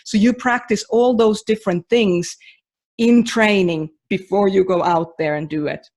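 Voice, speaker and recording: A woman's voice: mid-range voice, normal speed, darkish tone. A woman from Finland, a mentor running a training course, talking casually and emphatically on a podcast with many restarts and fillers.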